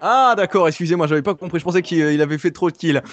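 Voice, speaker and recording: Man playing a high-pitched live news anchor. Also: rapid-fire, muffled recording, natural voice. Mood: mock serious. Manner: insistent, repetitive, stumbling over words. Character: earnest male TV news anchor on live breaking news. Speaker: prank caller. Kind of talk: mock live news reporting.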